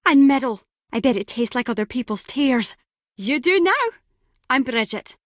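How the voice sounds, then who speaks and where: An actress plays a cheeky, nosy young woman in a video game. Her voice is high and swoops around as she teases and gushes. The sound is dry and close. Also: lively intonation, normal speed, dry recording, lightly acted. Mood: excited, gushing. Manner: playful, teasing, gushing. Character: chatty, cheeky, excitable young woman, nosy would-be friend.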